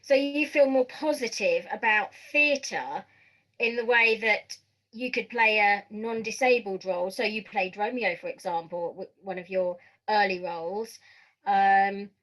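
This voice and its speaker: A woman hosting a conversational podcast interview, putting her questions in a softened, tentative way. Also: fairly high pitch, poor audio.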